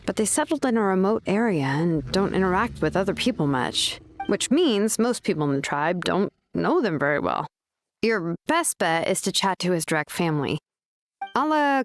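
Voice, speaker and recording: A woman's voice: mid-range voice, sing-song, normal speed, lightly acted. Woman acting an easygoing, wry tribeswoman. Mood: brisk, friendly. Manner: casual, wry, explanatory. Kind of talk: video game voice acting.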